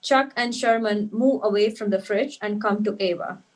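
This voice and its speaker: A woman's voice: medium pitch, normal speed, low-quality recording. A woman narrating stage directions at a script table read.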